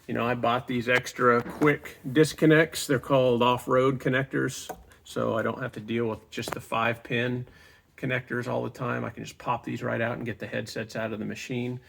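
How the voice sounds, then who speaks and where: A middle-aged man with a gravelly, fry-edged voice and a medium pitch walks through his gear. He speaks informally and plainly, like a hobbyist making a casual review vlog, with clear enthusiasm for the setup. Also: little pitch movement, moderate pace, fairly bright tone, slight background noise. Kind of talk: casual product walkthrough vlog.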